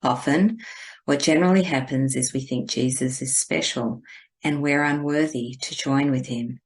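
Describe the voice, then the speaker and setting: A woman leading a guided spiritual meditation in a gentle, deliberate, instructing manner. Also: rumbling low, husky.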